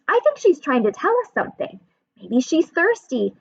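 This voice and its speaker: A woman voicing a well-traveled, caring marigold flower lady in a steady, reassuring read. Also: sits high, normal speed, gravelly, highs cut off, voice pushed up, exaggerated voice.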